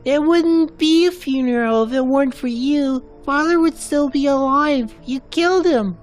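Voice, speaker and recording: A woman's voice: high voice, moderate pace, soft background music, natural voice. A woman voicing a grieving, accusing young girl in a game story. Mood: anguished, accusing. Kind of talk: narrated game cutscene character.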